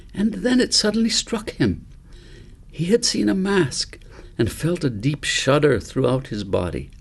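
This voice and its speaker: Gravelly, low-voiced man reading a novel. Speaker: author reading his novel. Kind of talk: scripted audiobook narration.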